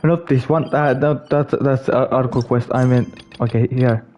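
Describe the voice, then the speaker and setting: A man's voice: medium pitch, steady tone, moderate pace, darkish tone, slight background noise. A man, a native Finnish speaker, giving casual live commentary as he plays a game on stream. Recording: faint game music behind.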